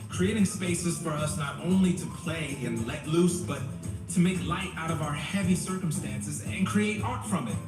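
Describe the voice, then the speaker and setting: A man playing an earnest, excitable young student and performance artist in an audio drama. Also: a little high, moderate pace, close to an everyday voice. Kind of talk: audio drama acting, speech-making and dialogue. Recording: roomy, distant playback with music behind.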